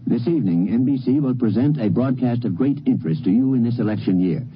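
A man's voice: mid-range voice, animated, fast. A man working as a news correspondent, reading a scripted 1950s radio promo. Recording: dull, faintly noisy old radio recording.

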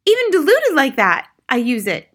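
A bossy storybook wife at the end of her patience. Her voice soars high and swoops widely, with a hard bright edge. She shrieks and scolds with open scorn. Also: huge pitch swings, moderate pace, full character voice. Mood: exasperated. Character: shrill, scornful, bossy middle-aged wife and mother.

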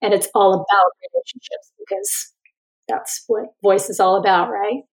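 A woman's voice: medium pitch, very animated, moderate pace, low-quality recording. Woman guest, author-coach; reflective conversational delivery. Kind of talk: conversational interview.

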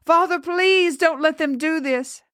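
Woman voicing a desperate, high-pitched pleading young girl. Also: a little slow, a bit bright, no echo, clean studio sound. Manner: desperate, begging. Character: terrified pleading young girl accused of witchcraft. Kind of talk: dramatic storytelling.

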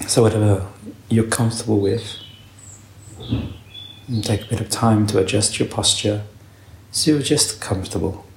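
A man guides a meditation in a low voice with a creaky, gravelly edge. He speaks gently in short, unhurried phrases with long pauses between them. The sound is roomy, with some echo around his voice. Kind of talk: guided meditation, calm spoken instruction. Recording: roomy echo, faint background noise.

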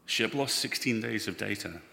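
A man playing an anxious, earnest spaceman in a live audio drama, halting and pleading as he speaks. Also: lowish voice, gravelly, pushed and bright, full character voice. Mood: grieving, pleading. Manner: halting, repeats words, pleading. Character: anxious, earnest spaceman devoted to his ship's AI. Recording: echoey hall, live stage recording.

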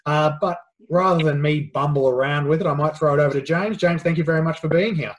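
A man's voice: on the high side, fast talker. A man hosting a podcast, upbeat and loose, with lots of fillers. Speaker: podcast host.

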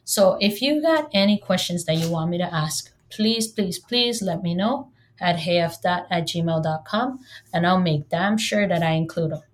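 A woman's voice: lowish voice, moderate pace. A woman from Hawaii whose first language is Hawaiian, in her thirties, hosting a solo podcast in a chatty, joking way and talking straight to her listeners. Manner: chatty and humorous, addresses listeners directly. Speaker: podcast host.

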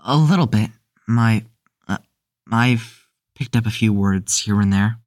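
A man's voice: on the low side, a little mellow, close to an everyday voice. Man playing a hesitant, mild young newcomer. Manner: halting, unsure. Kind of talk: audio drama dialogue.

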